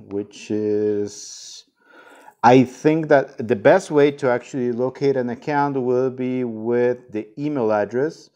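A man with a mid-pitched voice hosts a product tutorial. He talks informally, as in a casual how-to video. He explains things one step at a time. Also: darkish tone. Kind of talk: casual instructional walkthrough.

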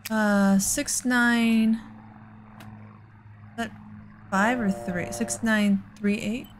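A woman's voice: mid-range voice, fairly even intonation, very slow, slight background noise. A woman hosting a casual let's play, with an exasperated, sarcastic way of talking. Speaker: gaming host.

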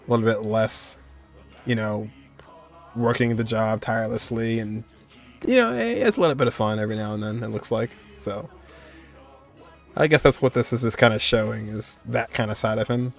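A man's voice: fairly low pitch, lively intonation, normal speed, soft background music. A man in his twenties giving casual, off-the-cuff reaction commentary in a hesitant, noncommittal way. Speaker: reaction video host.

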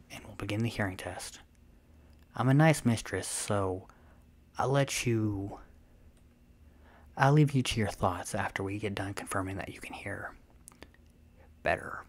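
A woman playing a possessive, smug and playful vampire mistress in a breathy, unhurried ASMR roleplay. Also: extremely low pitch, heavily acted. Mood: self-satisfied. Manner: self-satisfied, teasing, unhurried, often breathy and half-whispered.

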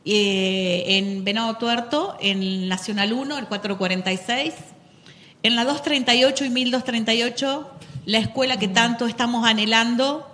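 A woman's voice: a little high, moderate pace, a bit bright, long reverb tail. A middle-aged Argentine woman teacher talking earnestly in a studio interview, with many fillers. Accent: Argentine Spanish. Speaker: teacher of economics, guest. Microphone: handheld mic.

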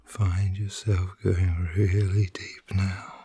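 A man in his fifties giving an ASMR reiki relaxation session in a breathy, husky whisper, soothing and unhurried, with short phrases and long pauses. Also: low voice, level tone, warm tone. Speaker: reiki healer. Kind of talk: ASMR guided relaxation, personal attention. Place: small room at home, indoors.

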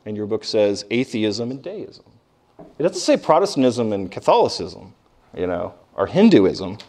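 A man giving an informal classroom lecture, conversational and emphatic, asking rhetorical questions and pausing for weight. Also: lowish voice, big pitch swings, dark tone. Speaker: history professor lecturing. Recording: distant microphone in live lecture.

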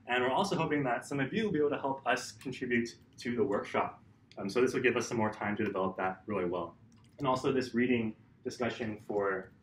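A young man speaks informally to a group as the leader of a student club. His medium-pitched voice is picked up from a distance in an echoey room with faint noise. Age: young adult. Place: meeting room, indoors. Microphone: distant table-level camera mic.

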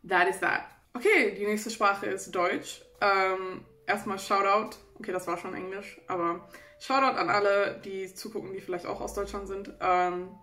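A woman's voice: mid-range voice, little pitch movement, moderate pace, bright, forceful tone, reverberant. A woman in her twenties from Germany with a German accent, chatting casually to camera as a vlogger, self-deprecating and laughing often. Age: 20s. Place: small bedroom indoors.

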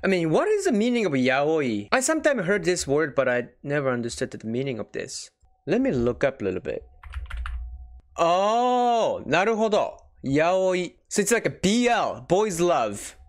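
Lively young man chatting from home. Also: fairly high pitch, normal speed. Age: young adult. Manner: amused, chatty, often laughing between thoughts. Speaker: reaction video host. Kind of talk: casual commentary reacting to clips. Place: small home room with curtains. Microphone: desk microphone on stand.

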